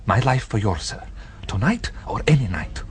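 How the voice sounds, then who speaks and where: A man's voice: on the low side, very animated, normal speed. An actor playing a frightened, grateful waiter in an old radio drama, his voice shaky and urgent, dropping to whispers. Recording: old radio recording with faint hiss.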